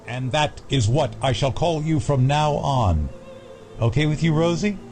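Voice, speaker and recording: Deranged male villain, menacingly playful, unhurried and animated, over prominent music. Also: on the high side. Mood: playful, taunting. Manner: theatrical, taunting, slow, giggling. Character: Edward, sly deranged riddle-obsessed male asylum patient, menacingly playful. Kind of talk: audio drama acting.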